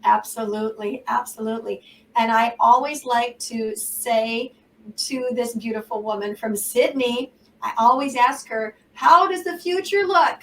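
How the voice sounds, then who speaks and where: American woman host, upbeat and gushing, bright-pitched, a little far from the mic. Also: unhurried, a little hiss or hum. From United States. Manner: effusive, enthusiastic. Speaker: show host. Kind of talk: online talk show hosting.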